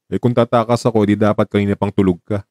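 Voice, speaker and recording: Weary young man, acted by a man, romantic radio drama, mellow tone, dry recording, guarded, understated, evasive. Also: lowish voice, little pitch movement, fast talker, no echo, natural voice. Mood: evasive, light.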